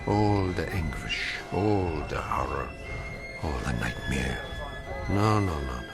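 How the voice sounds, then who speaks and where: An actor playing a weary, anguished older German immigrant in a radio drama, speaking with bitter, emphatic rhetoric. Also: male voice, rumbling low, expressive, over loud music, exaggerated voice. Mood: haunted, weary. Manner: slow, emphatic, bitterly rhetorical with repeated phrases. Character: weary old German immigrant man, anguished, defiant, scornful of fascists. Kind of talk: theatrical radio drama acting.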